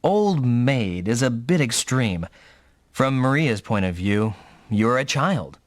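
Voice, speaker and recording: A lively young pilot with a medium-pitched voice in a game dub. He talks casually and teasingly, with wide swings of intonation, recorded close and dry. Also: slow, no echo. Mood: wry. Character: young mech pilot, teasing, easygoing big-brother type. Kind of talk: anime-style video game dub acting.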